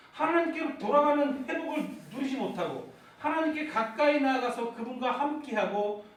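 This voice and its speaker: A man preaching in a church sanctuary, his voice sitting unusually high. He keeps putting questions to the congregation and climbs into fervent, shouted prayers. The sound is very echoey and comes from some way off the mic. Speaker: pastor. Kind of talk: sermon. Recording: echoey church hall heard from far off.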